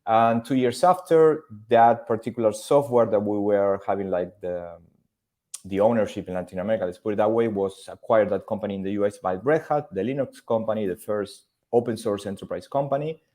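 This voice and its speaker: A man's voice: on the low side, brisk, dark tone. A man from Argentina, speaking as a podcast guest in a thoughtful, casual way with frequent fillers. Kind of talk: casual interview talk.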